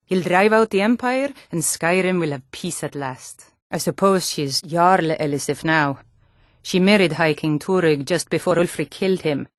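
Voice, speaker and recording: An earnest, protective Nordic townswoman out of a fantasy video game, acted by a woman. Her voice sits on the low side, with an emphatic, plain-spoken way of talking. The sound is close and dry, with no room to it. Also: no echo, lightly acted. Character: earnest, protective Nord townswoman, no longer young, loyal to rebels.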